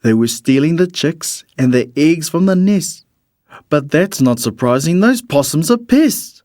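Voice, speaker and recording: A man reads a rhyming children's storybook in an expressive, measured storytelling manner. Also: a little high, no room sound, studio quality. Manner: expressive, measured storytelling in the character of a bird narrator. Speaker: storybook reader.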